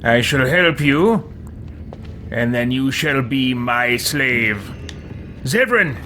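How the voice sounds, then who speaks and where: A man's voice: mid-range voice, lively intonation, takes it slowly, a little hiss or hum. Man playing a pompous, theatrical villainous adventurer. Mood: commanding. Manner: pompous, theatrical, formal diction. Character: imperious, pompous villainous adventurer who expects servants. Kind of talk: roleplay commentary over video game. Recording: loud game music behind. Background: game music and sounds.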